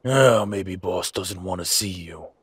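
Crime boss's brute, dim-witted, menacing, rough rasping voice, slow blunt delivery, audio roleplay. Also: male voice, deep voice, a bit bright, no echo, exaggerated voice. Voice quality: raspy, gravelly. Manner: blunt, halting, simple broken speech. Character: slow-witted, menacing, gruff henchman brute guarding the boss.

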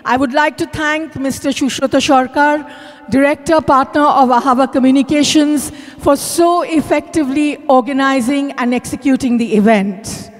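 A woman's voice: high voice, steady tone, moderate pace. A middle-aged woman with an Indian accent formally and emphatically announcing thanks as an event host over a microphone. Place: large hotel ballroom. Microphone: handheld microphone through PA. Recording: echoey hotel ballroom PA, long reverb.

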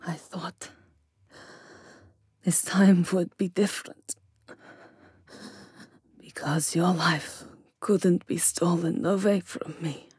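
Give this a possessive, imperious vampire countess, acted by a woman, in a theatrical ASMR roleplay. Her voice sits slightly low and is very husky and breathy. She takes her time, drawing out phrases with seductive aristocratic grandeur. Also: steady tone, darkish tone, no room sound, clean studio sound, exaggerated voice. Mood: hurt, bitter. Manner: theatrical, deliberate, drawn-out phrasing with breathy whispers.

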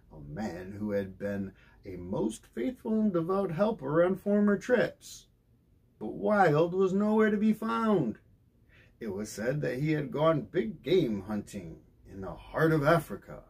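Gravelly middle-aged man reading a story, very animated. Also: a little high. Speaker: storybook reader. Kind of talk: expressive scripted narration of a children's bedtime story.

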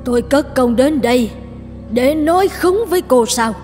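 A woman's voice: sits high, lively intonation, moderate pace, darkish tone. A woman playing a scheming, snobbish middle-aged mother in a cartoon drama, speaking in an emphatic, admonishing way. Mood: cold, stern. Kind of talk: dubbed cartoon drama acting. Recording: long reverb, music underneath.

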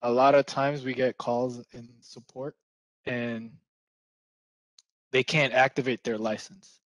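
A young man from the Philippines, Tagalog his first language, talks easygoingly on a video call. His mid-pitched voice is close and dry through a headset, and he lets his sentences fade out softly. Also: fairly even intonation, takes it slowly, dry recording, low-quality recording. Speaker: support engineer guest. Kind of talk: casual video call chat.

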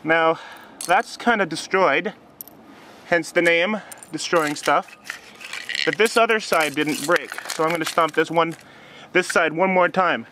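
A teenage man with a fairly high voice hosts a casual homemade vlog. He talks in an offhand, informal way into a camera outdoors, with clear noise and clicks in the recording. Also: a little slow, pauses often, pushed and bright. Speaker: host of an online video series. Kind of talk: casual vlog chat and demonstration. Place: outdoors in a snowy yard. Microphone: handheld camera at arm's length or set nearby.

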